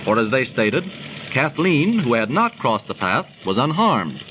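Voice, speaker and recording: Earnest male storyteller, lively intonation, sharp tone, 1930s radio drama, faint noise. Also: medium pitch, measured pace. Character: earnest man debunking superstition, storyteller. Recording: noisy 1930s radio recording.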